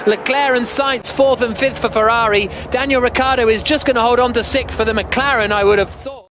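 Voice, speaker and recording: A man giving live, excitable and emphatic motor racing commentary as the lead commentator. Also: extremely high pitch, brisk. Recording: loud engine noise behind. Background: racing cars and engine roar.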